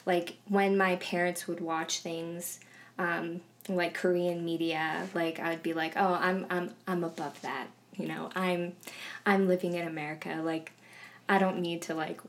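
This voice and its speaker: A young woman telling a personal story in a discussion, hesitant, with many fillers and restarts. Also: fairly low pitch. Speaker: guest.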